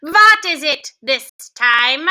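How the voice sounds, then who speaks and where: A woman reading aloud plays a bossy, bad-tempered witch queen who barks slow, drawn-out orders. The voice is loud and very bright in a storybook reading. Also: sits high, lively intonation, full character voice. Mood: snapping. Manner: snappish, slow and commanding. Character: imperious, ill-tempered chief witch, female.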